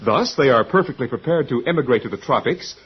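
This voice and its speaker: An actor playing a brisk, authoritative hatchery guide who lectures proudly and clinically in mid-century radio drama style. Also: male voice, mid-range voice, expressive, husky. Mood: proud, lecturing. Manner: declamatory lecturing to students. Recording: dull, lo-fi old radio recording.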